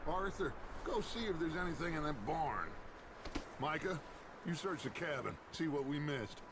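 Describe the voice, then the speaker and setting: An actor playing a middle-aged American gang leader in a video game, giving orders in a measured, authoritative and protective way. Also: male voice, fairly high pitch, lively intonation, background noise. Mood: calm, commanding. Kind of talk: dramatic video game cutscene acting.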